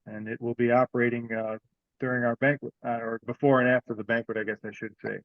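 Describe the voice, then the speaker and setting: A man leads an online meeting in a plain, conversational voice at a middle pitch. He talks informally and haltingly, thinking aloud as he goes. The sound is a slightly dull video-call recording with a dry, close room. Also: flat delivery, no room sound. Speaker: online meeting presenter for an amateur radio group. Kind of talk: informal meeting update, unscripted.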